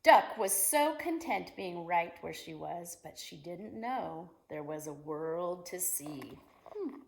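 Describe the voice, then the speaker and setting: Woman, teacher, fairly low creaky voice, animated story time, expressive, talking to young children. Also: slow. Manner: expressive, with gasps, exclamations and questions to young listeners. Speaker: teacher reading a picture book aloud to children.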